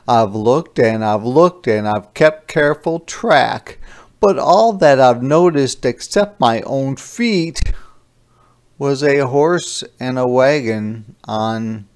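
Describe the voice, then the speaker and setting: A man reading a children's storybook aloud expressively and deliberately, with dramatic emphasis and drawn-out line endings. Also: medium pitch, darkish tone, clean tone. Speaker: storybook reader.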